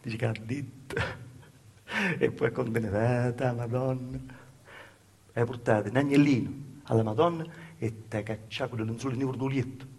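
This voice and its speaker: A male stage actor with a Calabrian accent playing a plaintive, naive southern peasant woman recalling a hard childhood in an intimate, halting, repetitive dialect monologue. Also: mid-range voice, fairly even intonation, gravelly, a little mellow, slightly rough. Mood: wry, confiding.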